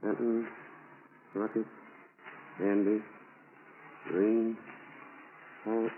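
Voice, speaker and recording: An actor playing a shrewd, persistent federal investigator, probing and matter-of-fact in 1940s radio style. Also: male voice, on the low side, little pitch movement, drawn-out words. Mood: intent. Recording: scratchy, tinny old-time radio broadcast.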